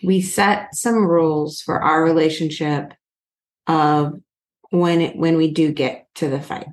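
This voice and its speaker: A woman's voice: low voice, steady tone, unhurried, reverberant. A woman co-hosting a relationship podcast, talking earnestly in a chatty way with many fillers. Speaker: podcast co-host. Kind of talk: casual couple chat.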